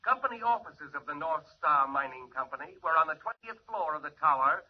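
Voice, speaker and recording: A man's voice: fairly high pitch, lively intonation, brisk, slightly rough, lo-fi sound. An actor playing a formal male radio newscaster heard through a car radio. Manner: formal news reading. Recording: scratchy 1940s radio transcription.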